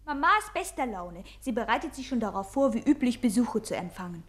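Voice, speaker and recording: An actress playing a pert, critical daughter of a respectable household in a radio play. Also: female voice, a little high, lively intonation, a little fast, close to an everyday voice. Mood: pert, disapproving.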